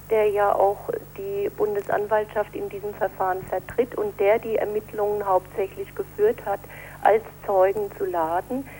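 A woman's voice: medium pitch, dark tone, rough recording. Woman lawyer answering interview questions, formal and explanatory, bit of hum and noise behind her. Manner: measured, explanatory, formal legal wording. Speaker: defence lawyer being interviewed.

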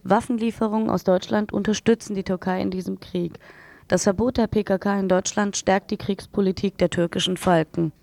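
A woman's voice: medium pitch, steady tone, brisk, vocal fry, warm tone, no echo. A woman radio presenter reading news and programme announcements in an earnest, factual manner.

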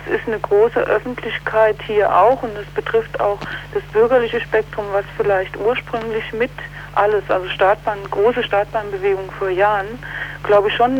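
A woman giving a spontaneous political interview as an activist, speaking haltingly and often ending on question tags. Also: medium pitch, dull audio, a little hiss or hum.

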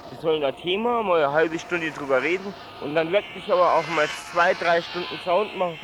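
A man with a somewhat high voice gives his casual opinion in a street interview. He is emphatic and slangy, repeating words and adding tag questions. Traffic and street noise are clearly audible. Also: a little fast. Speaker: passer-by being interviewed. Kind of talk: casual spontaneous street chat. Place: outdoors on a busy street.

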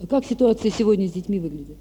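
A woman interpreting sentence by sentence in an interview, with halting phrasing as she searches for words. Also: medium pitch, expressive, warm tone, slightly rough, rough recording. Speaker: consecutive interpreter between Russian and German.

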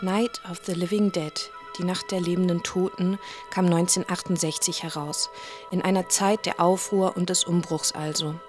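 A woman's voice: lowish voice, fairly even intonation, moderate pace. A woman narrating a scripted radio feature as interviewer and presenter. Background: music.

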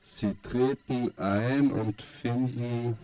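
A man narrating an experimental radio play in halting, fragmented short phrases. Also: mid-range voice, steady tone, mellow, far from the mic.

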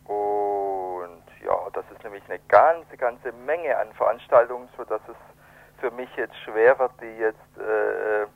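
A man being interviewed, explaining things in a halting way and searching for words, over a telephone. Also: on the low side, creaky, no room sound. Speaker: event organiser, interviewed guest. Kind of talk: spontaneous radio interview by phone. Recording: narrow tinny telephone line.

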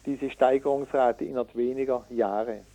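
A man being interviewed as an environmental activist, speaking haltingly with frequent hesitations and self-corrections, in an indignant, emphatic way. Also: medium pitch, steady tone, mellow, rough recording. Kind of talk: radio interview, reading out figures and arguing.

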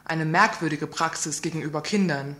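A woman's voice: deep voice, moderate pace, sharp tone. A woman reading a scripted report on a community radio news program, asking pointed rhetorical questions.

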